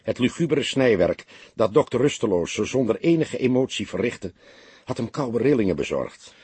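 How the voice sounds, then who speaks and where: A man narrating a crime novel as an audiobook, in expressive scripted storytelling. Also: medium pitch, moderate pace, slightly rough, no echo.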